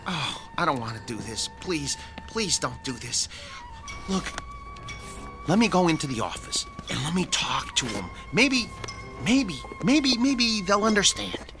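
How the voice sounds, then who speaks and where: A jittery, worried sidekick who pleads and stammers in a fairly high voice. His pitch swings widely as he frets. Dramatic music plays underneath this radio play. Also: big pitch swings, measured pace, exaggerated voice. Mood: anxious. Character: anxious, pleading male sidekick.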